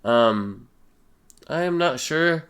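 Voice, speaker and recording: A young man with a stuffy, slightly sick voice, casually answering viewer questions as a gaming YouTuber, relaxed and full of fillers. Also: mid-range voice, very slow, clean tone. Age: young adult. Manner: relaxed, rambling, many fillers. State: stuffy nose, a little sick. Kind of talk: casual Q and A voice-over.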